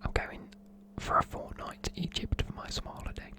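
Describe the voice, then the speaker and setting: A person with a British accent whispering informal, chatty, matey explanations in an ASMR style. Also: brisk. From United Kingdom. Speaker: presenter explaining slang.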